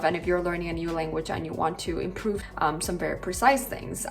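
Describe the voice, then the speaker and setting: A young woman in her twenties from Colombia, Spanish-native with a Colombian accent, chatting casually to camera as a language vlogger, with lots of likes and you-knows. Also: on the low side. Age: 20s.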